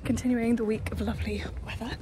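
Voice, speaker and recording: A woman's voice: on the high side, flat delivery, quick pace, background noise. Chatty young woman vlogging on phone. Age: young adult. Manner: chatty, candid, talks while walking around. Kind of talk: casual vlog narration. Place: empty house indoors, then outdoors on a street. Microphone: phone at arm's length.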